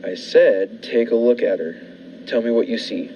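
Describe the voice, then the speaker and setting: A man's voice: mid-range voice, normal speed, warm tone, a little hiss or hum, natural voice. A man playing a brusque, controlling hotel-owner bridegroom in an old-fashioned radio thriller, with a curt, ominous delivery. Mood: cold, commanding. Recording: muffled lo-fi radio play with music.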